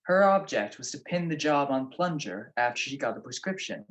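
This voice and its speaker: A man's voice: mid-range voice, lively intonation, normal speed, a bit bright, lightly acted. A man playing a shrewd, wry married private detective in a 1940s-style radio drama. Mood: smug, explaining. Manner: wry, teasing.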